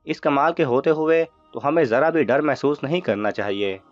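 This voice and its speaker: A man's voice: mid-range voice, lively intonation, no room sound, close to an everyday voice. A man voicing eager, admiring thieves who defer to their leader in a children's story. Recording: muffled audio with music underneath.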